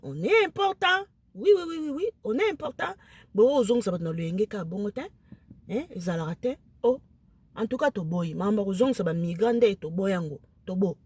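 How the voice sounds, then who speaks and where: Excited woman with a Lingala accent. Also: mid-range voice, normal speed, husky. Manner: emphatic, excited, mixes French into her speech. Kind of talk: casual talk to camera.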